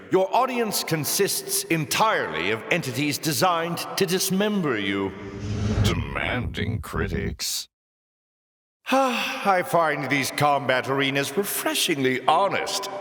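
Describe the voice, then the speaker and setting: An actor voicing a sardonic, pompous demon lord in a video game, taunting with dry, theatrical sarcasm. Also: male voice, mid-range voice, sharp tone, exaggerated voice. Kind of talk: scripted video game character voice lines. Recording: echoey hall with long reverb.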